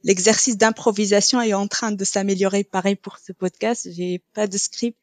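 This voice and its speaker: A young woman speaking French as a non-native, talking as a podcast guest and pausing often on filler sounds. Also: medium pitch, fairly even intonation, no echo. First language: neither French nor English, trilingual. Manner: hesitant, many filler sounds. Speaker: podcast guest, quality coach. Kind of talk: casual interview talk.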